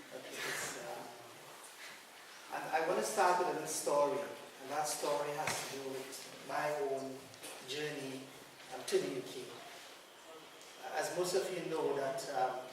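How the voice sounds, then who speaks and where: A man from Trinidad, giving a measured lecture as guest speaker, pausing often. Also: mid-range voice, fairly even intonation. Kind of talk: lecture to a student audience. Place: large hall. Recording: echoey hall from far off, noisy.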